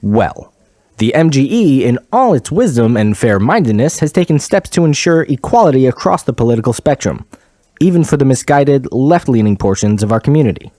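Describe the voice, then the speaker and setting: A smug, condescending male local TV anchor, played by an actor in a satirical newscast. His voice is mellow and his pitch moves around in a lively way as he bluntly airs his opinions. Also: brisk, dry recording, clean studio sound, natural voice.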